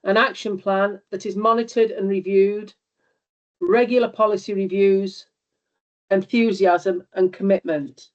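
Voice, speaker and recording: A woman with a cold and a slightly squeaky voice reports to a meeting over a video call. She speaks steadily, with a few ums and restarts. The call audio is dull and muffled. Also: fairly even intonation, measured pace. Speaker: regional covenant liaison officer. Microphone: remote video call.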